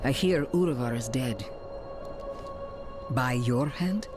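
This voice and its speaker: A woman voicing an imperious, solemn middle-aged church leader in a video game cutscene, formal and deliberate. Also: a bass voice, expressive, over music, heavily acted. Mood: cool, probing. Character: imperious, solemn female church leader, older and coolly diplomatic.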